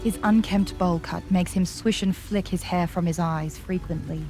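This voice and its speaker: Female narrator, slightly low voice, dramatic grim fantasy storytelling over prominent music. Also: fairly even intonation, normal speed. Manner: dramatic, descriptive second-person storytelling. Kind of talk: scripted dark fantasy audio drama narration.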